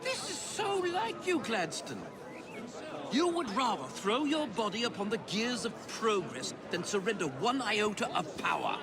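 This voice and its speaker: An actor playing an indignant, pompous Victorian prime minister with an upper-class English accent in a video game. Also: male voice, sits high, big pitch swings, unhurried, a crowd in the background, heavily acted. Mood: haughty. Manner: pompous, theatrical oratory. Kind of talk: video game cutscene acting. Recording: loud music under dialogue.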